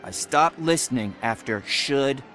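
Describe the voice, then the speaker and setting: A man's voice: medium pitch, lively intonation, unhurried. A man playing a cheeky, cynical truant kid in a video game, sarcastic, slangy and defiant. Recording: loud music underneath.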